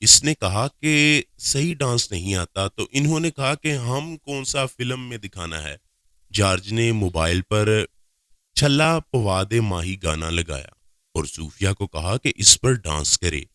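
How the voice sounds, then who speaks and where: A man's voice: fairly low pitch, expressive, measured pace, a bit bright, no room sound, clean studio sound. A man reading a scripted first-person story aloud as a narrator.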